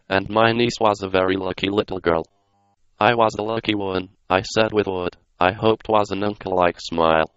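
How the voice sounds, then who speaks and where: Low, smooth synthetic male voice. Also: no echo, lo-fi sound. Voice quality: synthetic computer voice. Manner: flat, non-dramatic reading. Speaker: narrator. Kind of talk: scripted narration read by text-to-speech.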